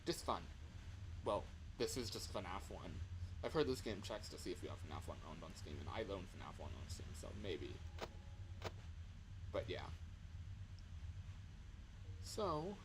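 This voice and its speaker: A man's voice: low voice, very animated, unhurried, long pauses, noisy background. A man doing casual, slangy gaming commentary as a livestreamer, with exasperated exclamations. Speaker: livestreamer playing a horror game.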